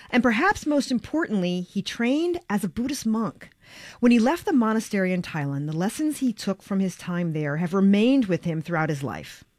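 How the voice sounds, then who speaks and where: Female radio host, American, mid-pitched voice, very dry close studio sound. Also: no echo. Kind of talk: scripted introduction and interview questions.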